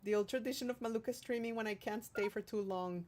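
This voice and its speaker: A woman's voice: on the high side, fast talker, darkish tone. A woman hosting a livestream, reading out viewer comments and chatting casually in a playful, affectionate way. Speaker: streamer hosting a livestream, singer-songwriter.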